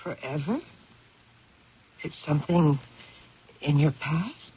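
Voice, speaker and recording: An actress playing a devoted, worried middle-aged wife, tender and coaxing, in a 1970s radio drama. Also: female voice, low-pitched, slow pace, heavily acted. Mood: hurt, probing. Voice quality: husky. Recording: muffled 1970s radio broadcast recording.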